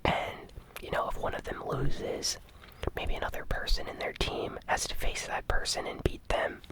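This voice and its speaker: A host giving ASMR gaming news commentary in a breathy, unvoiced whisper, soft, unhurried and informal.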